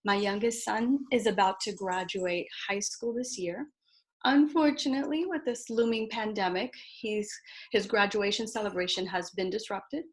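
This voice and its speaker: A woman from Florida, around her forties, giving a prepared icebreaker speech on a video call in an earnest, measured way. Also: mid-range voice, low-quality recording. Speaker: first-time speaker.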